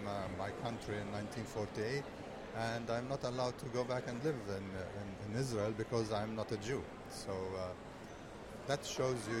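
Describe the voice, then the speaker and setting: Earnest man over loud traffic. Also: lowish voice. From Nazareth. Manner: earnest, halting with many fillers and restarts. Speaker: interviewee, activist. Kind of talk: street interview. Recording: loud street traffic noise.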